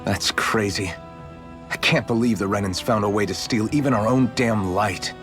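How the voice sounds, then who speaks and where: An actor playing an earnest, resolute young rebel fighter in a fantasy anime game, speaking plainly. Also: male voice, on the low side, moderate pace, a bit bright, soft background music, close to an everyday voice. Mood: puzzled, musing. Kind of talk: English-dubbed anime game voice acting.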